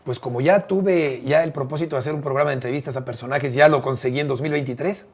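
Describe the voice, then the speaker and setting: A man's voice: medium pitch, quick pace, breathy edge. A middle-aged Mexican man with a Mexican accent, a radio broadcaster talking as an interview guest, earnest and affectionate in a casual conversation. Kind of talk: warm casual interview talk.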